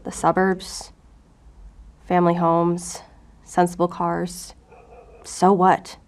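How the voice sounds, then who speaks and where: A woman's voice: lowish voice, monotone, slow pace. Blunt, hard-edged female FBI agent. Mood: probing, cool. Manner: blunt, probing, short-tempered. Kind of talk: audio fiction acting.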